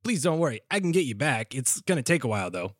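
A man's voice: mid-range voice, big pitch swings, fast, dry recording. Mysterious ferry captain played by a man: folksy, polite, hesitant, medium register, dry sound, audio story. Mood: reassuring, friendly.

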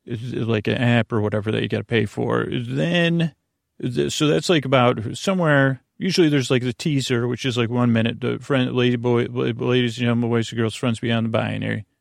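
Creaky-voiced man, rambling and halting. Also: mid-range voice, fairly even intonation, no room sound, studio quality. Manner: meandering, halting, often self-interrupting. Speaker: podcast host. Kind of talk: rambling monologue for a sleep podcast.

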